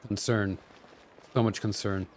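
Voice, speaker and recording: A man's voice: lowish voice, fairly even intonation, unhurried. A man giving casual live commentary as he streams a video game playthrough. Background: game music and sounds.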